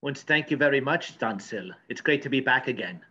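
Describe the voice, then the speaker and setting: A man's voice: medium pitch, lively intonation, fairly quick, sharp tone, muffled recording, slight background noise. A man playing a cranky, pompous professor in a comedy news sketch, rambling and stammering. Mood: indignant. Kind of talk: improvised mock news interview comedy.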